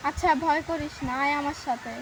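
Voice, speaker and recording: A woman's voice: sits high, steady tone, fairly quick, close to an everyday voice. A woman playing a protective, anxious elder sister with a Bangladeshi accent, talking urgently in an amateur skit. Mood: reassuring. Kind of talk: amateur horror-comedy skit acting. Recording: noisy location sound with ambience.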